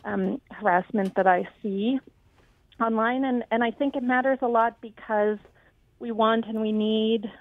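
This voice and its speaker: A woman answering in a live radio interview as a candidate, speaking thoughtfully with frequent pauses and ums. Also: a little high, fairly even intonation, no echo. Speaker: city council candidate. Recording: tinny phone-like line.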